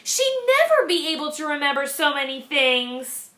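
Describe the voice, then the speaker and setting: Woman teacher reading aloud, high bright voice, echoey classroom sound. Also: normal speed. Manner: expressive, animated reading. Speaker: kindergarten teacher. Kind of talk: storybook read-aloud. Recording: echoey room.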